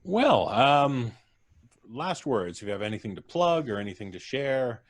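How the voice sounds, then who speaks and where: A man's voice: mid-range voice, sing-song, a little slow. A man hosting a live stream wrap-up over a video call mic, genteel and wry, with frequent chuckles. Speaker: host.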